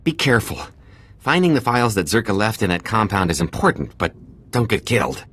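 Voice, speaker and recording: Deep-voiced, sober informant. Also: male voice, very animated, brisk, a bit bright, husky, full character voice. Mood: sober, concerned. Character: sober, cautious male informant in hiding. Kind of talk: video game dialogue.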